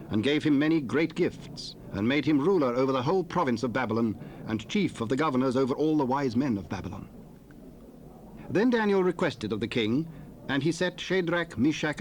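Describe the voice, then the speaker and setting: A man narrating scripture in a measured, even storytelling manner. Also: mid-range voice, slight background noise.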